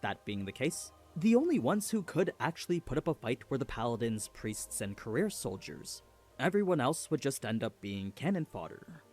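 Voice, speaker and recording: A man narrates a scripted story recap. His mid-range voice moves up and down in pitch a good deal, giving the storytelling an animated delivery. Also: normal speed, soft background music.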